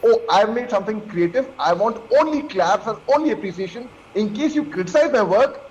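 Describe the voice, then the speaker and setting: A man with an Indian accent giving his opinion as a guest on a news debate, speaking emphatically and haltingly with repeated phrases. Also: high-pitched, lively intonation, darkish tone. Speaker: panel guest commentator. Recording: dull lo-fi call audio, clear noise.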